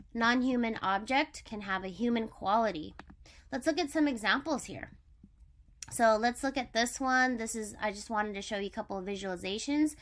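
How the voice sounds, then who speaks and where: A woman's voice: a little high, fairly bright tone. A woman elementary school teacher giving a vocabulary lesson to children, explaining step by step with simple examples.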